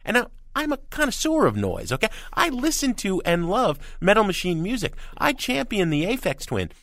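A man co-hosting a music review show, speaking as an emphatic critic. His pitch sits a little high and swings widely as he argues, and the sound is dry and close. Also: wildly swooping pitch, normal speed, fairly bright tone, no echo. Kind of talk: opinionated album review discussion.

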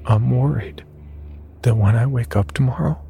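A man with a gravelly voice reading a scripted first-person horror story as its narrator. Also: medium pitch, normal speed, dark tone, slight background noise. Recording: music behind the voice.

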